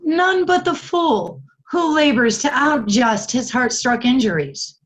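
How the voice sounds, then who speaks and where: A woman acts a troubled gentleman bringing news in a Shakespeare play. The voice is high and dramatic, with big swings in pitch. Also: animated, slow pace, rough recording. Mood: urgent. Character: gentleman messenger, urgent and troubled. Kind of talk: theatrical Shakespearean reading.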